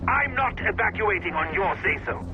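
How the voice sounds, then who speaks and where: An actor playing a stubborn, defiant male rebel commander in a video game, forcefully calling orders over a radio. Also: high-pitched, animated, moderate pace, lo-fi sound. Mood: defiant, urgent. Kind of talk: dramatic video game acting. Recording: radio transmission under loud music.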